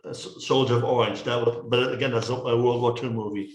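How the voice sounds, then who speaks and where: A man's voice: medium pitch, fairly even intonation, brisk, roomy sound. A Dutch man with a Dutch accent, chatting casually about films and stumbling over words, repeating himself as he restarts his sentences. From Netherlands. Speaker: co-host of a movie review show. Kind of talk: casual film chat.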